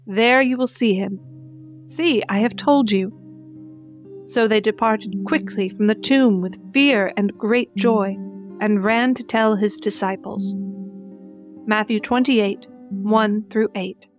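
A woman's voice: medium pitch, takes it slowly. Woman reading scripture over guitar music. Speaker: narrator.

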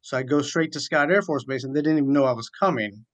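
A man's voice: mid-range voice, quick pace. A man in his forties from southeastern Virginia, a chaplain, telling his story conversationally and earnestly as an interview guest. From southeastern Virginia, USA. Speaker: military chaplain, radio guest.

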